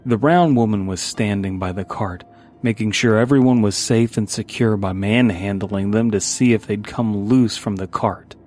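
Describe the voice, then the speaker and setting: A man's voice: fairly low pitch, moderate pace, a little mellow, slight background noise. A man reading a scripted first-person horror story as its narrator. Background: background music.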